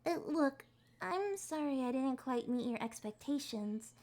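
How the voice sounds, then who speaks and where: A woman plays an adorkable young fox girl in an ASMR roleplay. Her voice is high, and she talks in prim, wordy phrases with flustered hesitations, trying hard to sound mature. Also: lively intonation, measured pace, darkish tone. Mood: dejected, apologetic. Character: adorkable young adult female kitsune fox, flustered, primly formal. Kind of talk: ASMR roleplay, acted one-sided date conversation.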